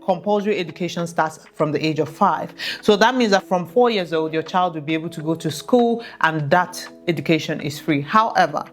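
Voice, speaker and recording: A woman's voice: fairly low pitch, brisk, few pauses, faint music behind. A woman speaking earnestly and directly to viewers as she gives practical advice in a vlog. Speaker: expat vlogger giving advice.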